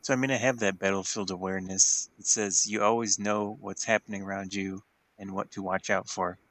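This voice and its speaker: Slightly creaky, low-voiced man at game. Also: steady tone, fairly quick, a bit bright, a little hiss or hum, low-quality recording. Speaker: tabletop game player. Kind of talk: casual game chat.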